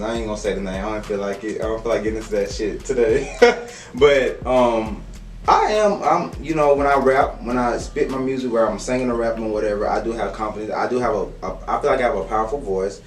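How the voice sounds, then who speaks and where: A young man, a rapper, talking about his music in a confident, animated, slangy way. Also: medium pitch, quick pace. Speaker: rapper, interview guest. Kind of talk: casual interview chat. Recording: echoey room, distant mic, faint music.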